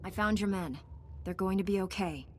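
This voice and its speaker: A woman's voice: mid-range voice, normal speed, a little mellow, slight background noise, lightly acted. An actress voicing a composed, earnest young female Jedi knight in scripted video game dialogue. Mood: reassuring, earnest. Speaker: game character.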